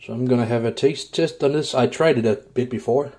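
A man casually narrating a home cooking vlog in a laid-back, somewhat halting way. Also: medium pitch, mellow. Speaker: home cook, video host. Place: indoors in a home kitchen.

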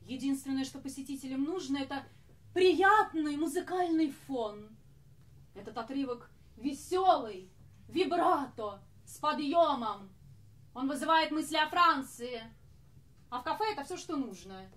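A woman's voice: high voice, lively intonation, a bit bright. An actress playing a haughty, strict lady café orchestra leader on stage, speaking in an imperious, theatrical manner. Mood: lecturing. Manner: imperious, theatrical, measured enunciation.

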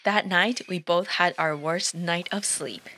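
A young adult woman calmly narrating her travel vlog in a scripted voice-over. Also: lowish voice, measured pace, no echo. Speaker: vlog host.

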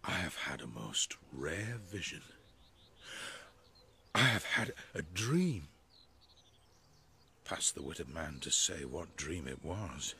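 An actor playing a bumbling, pompous weaver in a Shakespeare radio drama, declaiming haltingly in a husky voice. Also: male voice, extremely low pitch, expressive, bright, forceful tone, exaggerated voice. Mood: awestruck, bewildered. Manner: slow, halting, awestruck declaiming. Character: Bottom, a bumbling pompous weaver waking from a dream. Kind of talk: British radio drama, theatrical Shakespeare soliloquy.

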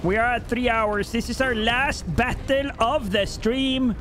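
A man's voice: extremely high pitch, fairly even intonation, unhurried, a bit bright, slight background noise. A young man from Norway on a headset, chatting with his live-stream chat while playing, jokey and rambling. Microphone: headset mic.

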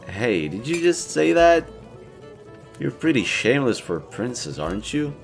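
A young man playing a playful, self-assured elven leader in a romantic audio roleplay, casual, teasing and expressive. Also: mid-range voice, moderate pace, vocal fry, soft background music. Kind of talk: intimate romantic roleplay acting, conversational.

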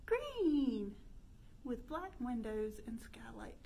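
Female storytime leader, 30s, high voice, exaggerated sing-song delivery for preschoolers, noticeable background noise. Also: big pitch swings, takes it slowly, vocal fry, warm tone. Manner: sing-song, exaggerated, asking questions to young children. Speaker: children's librarian leading storytime. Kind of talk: interactive children's storytime with chants. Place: indoor room by a stone fireplace.

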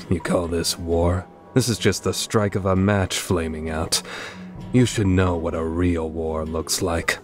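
A man's voice: deep voice, fairly even intonation, unhurried, faint music behind. A man voicing a stoic, battle-hungry young swordsman in a game fan dub, terse and formal. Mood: aloof, disdainful.

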